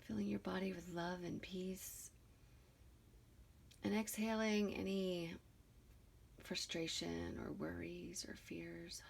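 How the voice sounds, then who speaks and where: A woman leading a guided meditation in a soothing, deliberate way, with soft, breathy phrase endings and pauses between short phrases. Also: lowish voice, fairly even intonation, slight background noise.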